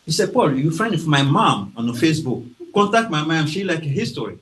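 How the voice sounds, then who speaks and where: A man from Guinea with a French accent, speaking slowly and carefully as an author presenting his book to a library audience, with hesitations and acted-out quotes. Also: on the high side, big pitch swings, fairly bright tone. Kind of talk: informal talk to an audience. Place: library event room.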